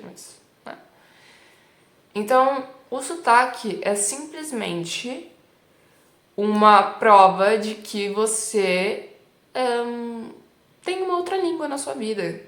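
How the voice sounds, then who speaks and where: A woman's voice: a little high, a bit bright. Chatty São Paulo woman in a roomy space. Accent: São Paulo Brazilian Portuguese. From Brazil. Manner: chatty and emphatic, frequent "né" and "tipo" tags. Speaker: Italian teacher. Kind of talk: casual teaching vlog. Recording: roomy echo.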